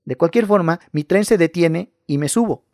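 A man reading scary first-person stories aloud in a calm, measured narration. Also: a little high, dry recording, clean studio sound.

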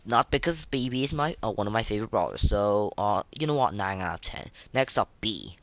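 Casual podcast host, sex ambiguous by ear, mid-low voice, halting and opinionated, close dry sound. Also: expressive, dry recording. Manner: hesitant, halting, rambling delivery; opinionated, slangy. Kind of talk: casual unscripted game review commentary.

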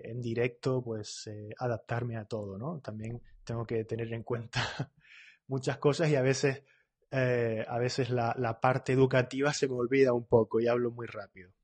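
Husky-voiced man teaching live. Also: medium pitch, flat delivery, a little mellow. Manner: talks quickly, often checks in with "¿vale?" and "¿eh?"; reads out and corrects viewers' comments. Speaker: Spanish teacher. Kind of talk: live online lesson.

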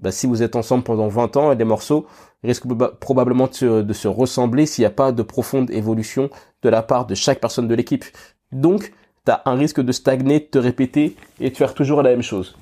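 A man hosting a solo podcast while he has a cold and sniffles now and then. His voice is mellow and dark in tone, at a middle pitch. He thinks aloud with fillers and restarts and keeps talking straight to the listener. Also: fairly even intonation, a little fast. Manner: addresses listener directly with "tu vois". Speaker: podcast host. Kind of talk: casual solo podcast talk.

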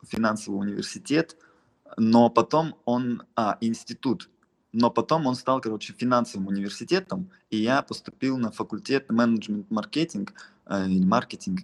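A man's voice: on the low side, normal speed, gravelly. A young man from Russia giving casual, rambling, slangy answers as an interview guest, often restarting his sentences. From Russia, Altai region. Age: young adult. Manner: rambling, slangy, frequent false starts. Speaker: interview guest, beatmaker and music producer.

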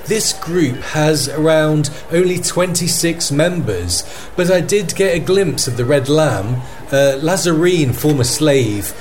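A man acts as an earnest male correspondent reporting from a fantasy war. His voice is fairly high and very animated in pitch, with measured emphasis. Faint ambient noise runs behind him. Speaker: field correspondent. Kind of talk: radio news report roleplay.

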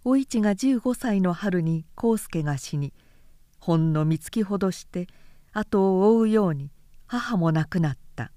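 A woman reading a literary story aloud as narrator, in measured scripted storytelling. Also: mid-range voice, lively intonation, warm tone, no room sound, clean studio sound.

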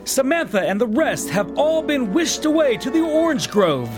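A man's voice: very high voice, sing-song, moderate pace, music in the background. A man voicing a desperate trapped club performer, pleading melodramatically in a sing-song way in an audio drama.

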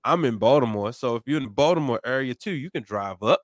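A man in his thirties hosting a podcast, easygoing and quick to laugh. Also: mid-range voice, animated, dry recording, studio quality. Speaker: podcast host. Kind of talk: casual chat.

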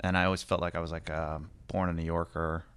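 A man's voice: low voice, flat delivery, fast talker, a bit bright, smooth, no echo. An American man you'd hear as the guest on a podcast, spinning wry, stop-and-start anecdotes in a clean voice, close and dry on the mic. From Northern California, United States. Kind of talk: casual anecdotal storytelling.

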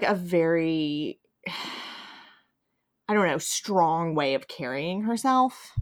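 A woman hosting a casual podcast discussion, speaking in an enthusiastic, emphatic and playfully teasing way. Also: fairly low pitch. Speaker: podcast host.

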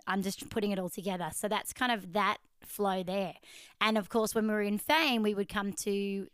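A woman hosting a casual interview, telling stories in a chatty, animated way. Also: medium pitch, clear, resonant tone, no echo, studio quality. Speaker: host.

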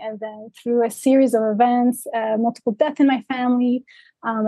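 A woman raised in the Dominican Republic and Canada talks through her path as a coach, reflective and searching, with frequent fillers. Her voice sits fairly high and sounds dry and close to the mic. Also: warm tone, no room sound, rough recording. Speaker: guest, life coach. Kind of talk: interview conversation. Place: remote, traveling in Mexico.

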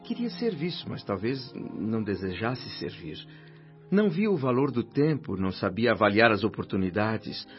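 Radio drama lead: earnest, repentant former doctor, solemn and formal, fairly low pitch, lo-fi sound. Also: male voice, sing-song, normal speed. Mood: humble, earnest. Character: humble, earnest former doctor, newly arrived spirit, narrating his afterlife. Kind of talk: radio drama acting and first-person narration. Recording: dull lo-fi radio drama with music.

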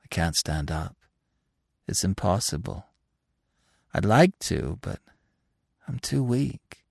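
A man voicing an exhausted young male deer in a storybook, speaking softly, seriously and with quiet resignation. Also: deep voice, animated, slow, creaky, slightly rough, no echo, clean studio sound, full character voice. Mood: weak, resigned. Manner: soft, serious, quiet and simple. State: weak.

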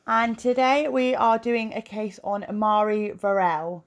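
A woman's voice: medium pitch, fairly even intonation, measured pace. A woman with a sore throat, hosting a casual true crime podcast and telling the case with emotion. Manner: chatty, tells the case with emotion. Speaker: podcast host.

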